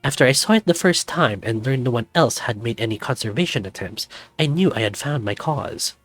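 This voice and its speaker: A man's voice: mid-range voice, animated, brisk, fairly bright tone, dry recording. Man putting on a flamboyant, lilting older snow leopard. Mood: wistful, fond.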